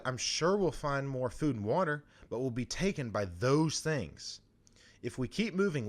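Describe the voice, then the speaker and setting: Male actor as stern post-apocalyptic group leader. Firm, argumentative, widely swinging pitch. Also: fairly bright tone. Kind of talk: audio drama dialogue.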